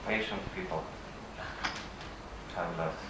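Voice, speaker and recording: A man with a Russian accent, a native Russian speaker, giving a halting conference presentation with frequent pauses. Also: low voice, sing-song, creaky. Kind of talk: conference presentation, semi-improvised. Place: conference session room. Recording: echoey room from far off, faint noise.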